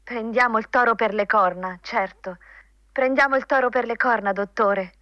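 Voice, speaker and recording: An obliging female secretary, played by a radio actress, answers her boss deferentially. Her voice sits a little high. The recording is dry and slightly dull. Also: normal speed, dry recording, lightly acted. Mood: compliant. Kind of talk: Italian radio play acting.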